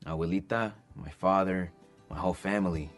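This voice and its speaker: A man's voice: sits low, steady tone, moderate pace, soft background music. An actor playing an earnest grown grandson talking to his family in a radio commercial.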